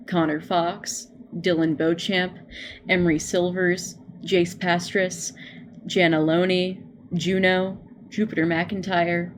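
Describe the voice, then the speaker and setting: A woman's voice: on the low side, a little hiss or hum. A woman reading out a scripted thank-you announcement as a podcast announcer.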